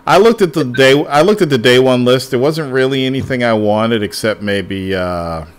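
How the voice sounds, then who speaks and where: A man's voice: mid-range voice, lively intonation, moderate pace, clear, resonant tone. Podcast host guy, medium voice, blunt and fed up, lots of swearing. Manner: blunt, exasperated, profane. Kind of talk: casual chat.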